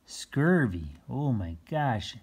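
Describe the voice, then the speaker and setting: Children's book reader, low husky voice, leisurely pace, exclaims and explains words along the way. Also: big pitch swings, very slow, darkish tone. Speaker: children's book read-aloud host. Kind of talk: reading a picture book aloud with playful asides.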